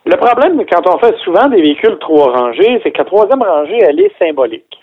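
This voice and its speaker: A man's voice: sits high, big pitch swings, fast. A man with a Québécois accent, reviewing a car as a radio guest, explaining in a chatty way with joking asides, heard over a phone line. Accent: Québécois French. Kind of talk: casual radio chat.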